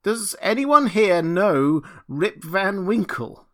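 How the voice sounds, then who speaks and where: Man reading as a plaintive, high-pitched old villager. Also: sing-song, slow pace, creaky, bright, forceful tone, heavily acted. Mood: anxious, despairing. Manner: plaintive, earnest. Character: bewildered, humble old villager, dismayed and pleading. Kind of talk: storybook character reading.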